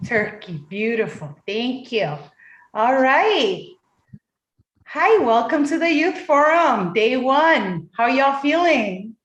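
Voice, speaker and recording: Slow-talking, encouraging woman moderator. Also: high voice, sing-song, echoey room, dull audio. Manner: encouraging, chatty, drawn-out delivery. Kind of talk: online forum moderation. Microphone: video call.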